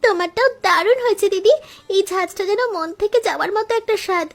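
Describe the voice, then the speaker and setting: A woman's voice: extremely high pitch, quick pace, creaky. A woman voicing a cheerful, eager young daughter-in-law in a cartoon.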